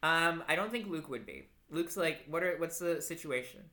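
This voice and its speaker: A man's voice: on the high side, moderate pace, sharp tone. A man co-hosting a casual chat show, giggly and teasing, with many fillers. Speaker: podcast co-host.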